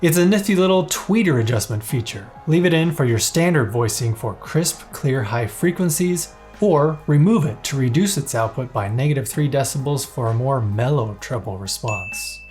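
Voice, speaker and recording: A man narrating a product review in a scripted, upbeat way, playful and openly excited. His pitch sits in an ordinary middle range. Soft music plays faintly underneath. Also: normal speed, hardly any pauses. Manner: playful, enthusiastic.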